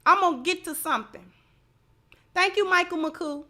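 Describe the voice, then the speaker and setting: Woman, livestream host, high-pitched, sharp bright tone, emphatic, repetitive phrasing, social commentary. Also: moderate pace.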